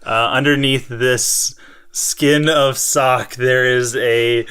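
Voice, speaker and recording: A man chatting casually and playfully as co-host of a fan show, halting as he thinks aloud. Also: mid-range voice, steady tone, bright, forceful tone, clear, resonant tone. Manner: playful, improvised sock-puppet banter. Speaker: fifth and sixth grade teacher. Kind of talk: casual chat.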